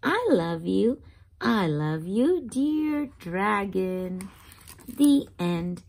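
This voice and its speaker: A woman reading a picture book aloud to young children, expressively, pausing to ask simple questions like a teacher. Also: mid-range voice, lively intonation, gravelly, a little mellow. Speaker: host of children's read-aloud channel.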